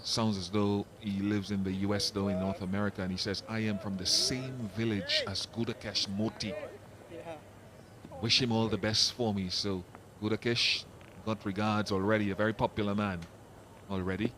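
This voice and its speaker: A man giving relaxed, chatty live cricket commentary, explaining the game's finer points. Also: fairly low pitch, moderate pace, background noise. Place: commentary box at a cricket ground.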